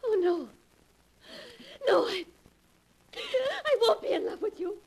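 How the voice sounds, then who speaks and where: An actress in a 1940s radio drama playing a desperate young woman who speaks in breathless, halting, pleading bursts. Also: very high voice, sing-song, full character voice, low-quality recording. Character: a desperate young woman facing her lover.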